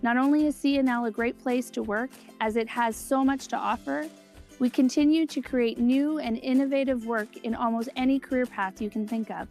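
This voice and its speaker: A woman's voice: fairly high pitch, steady tone, normal speed, darkish tone, faint music behind. A woman reading an upbeat, polished scripted promotional narration.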